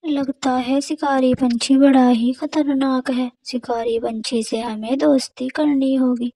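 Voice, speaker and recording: A woman's voice: sits high, vocal fry, mellow, husky, no room sound, heavily acted. Woman doing a bossy crow wife. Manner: scolding, commanding. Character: bossy female crow wife, fearful and sharp.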